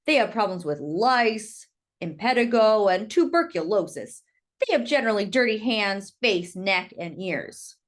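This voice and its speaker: A woman's voice: mid-range voice, lively intonation, a little slow, lightly acted. A woman voicing a prejudiced, blunt male school official testifying in a storybook read-aloud. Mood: condescending.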